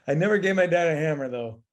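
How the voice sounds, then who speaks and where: A man's voice: a little high, expressive, fairly quick. A man hosting a theater podcast, asking curious questions in a friendly way and laughing often. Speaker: podcast host. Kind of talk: casual interview.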